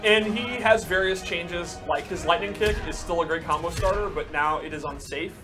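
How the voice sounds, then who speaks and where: A man's voice: sits high, moderate pace, over music. A man casually explaining fighting game moves in an instructive, enthusiastic way.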